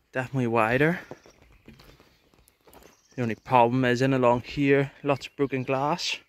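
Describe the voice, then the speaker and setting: Mid-pitched man, casual homestead vlogger. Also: smooth. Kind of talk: casual work update to viewers. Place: outdoors on a rural farm.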